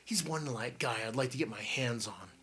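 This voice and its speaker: A man's voice: medium pitch, normal speed, fairly bright tone, breathy edge. A man reading an audiobook and voicing a blunt, wary teenage boy who grumbles.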